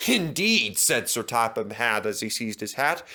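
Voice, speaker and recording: A man's voice: lowish voice, normal speed, bright, forceful tone. A man reading a children's railway story as scripted narration.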